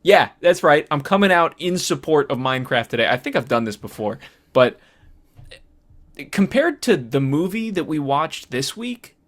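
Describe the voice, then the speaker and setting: A man's voice: fairly high pitch, big pitch swings, moderate pace. A man co-hosting a casual film discussion podcast, talking in a hesitant, meandering way. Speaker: podcast co-host.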